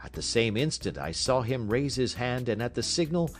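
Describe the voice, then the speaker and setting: A man narrating a classic literary story as an audiobook, in a measured, expressive storytelling manner. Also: medium pitch, faint music behind.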